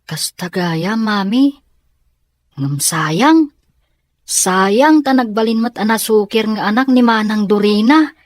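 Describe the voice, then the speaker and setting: A woman plays an earnest young son in a serial family drama, speaking. The voice is mid-pitched and recorded very dry. He asks his questions respectfully, always wanting to understand. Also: animated, takes it slowly, no echo. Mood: saddened. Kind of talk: radio-style serial drama acting.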